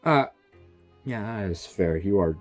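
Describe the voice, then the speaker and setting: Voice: low voice, lively intonation, measured pace, faint music behind. A streamer giving casual, sarcastic commentary while reacting to a video game, talking back to the characters.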